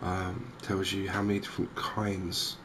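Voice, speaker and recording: A man giving softly spoken, gentle ASMR game commentary in an unhurried, hesitant way. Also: low voice, completely flat delivery, noisy background. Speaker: host of a cozy gaming channel.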